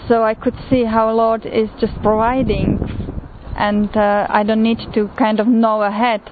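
A woman from Slovakia with a Slovak accent, telling her pilgrimage story in an unhurried way with frequent pauses and fillers. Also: a little high, little pitch movement. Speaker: pilgrim sharing testimony. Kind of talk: personal testimony. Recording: tinny phone-like recording with faint noise.

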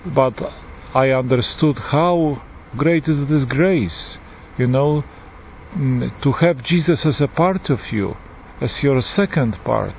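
A man in his forties with a Polish accent, a priest giving an earnest, unhurried personal testimony with frequent pauses. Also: mid-range voice, creaky. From Poland. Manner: earnest, unhurried, pausing often. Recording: tinny phone-like line with faint hiss.

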